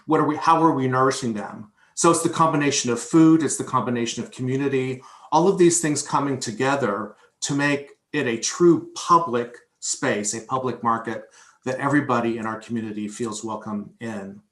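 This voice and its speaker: A man's voice: mid-range voice, normal speed, a bit bright. Man presenting in a roomy echo. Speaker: vice chair of a market board. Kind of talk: prepared presentation at a public meeting. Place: indoor room on a video conference call.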